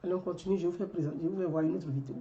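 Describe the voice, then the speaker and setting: A woman's voice: fairly low pitch, steady tone, very fast, warm tone, highs cut off. A woman, delivering a rhetorical political commentary and repeating her questions. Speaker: commentary vlogger.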